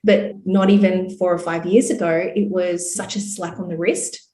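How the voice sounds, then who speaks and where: A woman's voice: lowish voice, fairly even intonation, normal speed, dark tone. A woman in her thirties with an Australian accent, chatting as a podcast guest in an upbeat way, full of "you know" and "like". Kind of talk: casual interview storytelling. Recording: roomy echo.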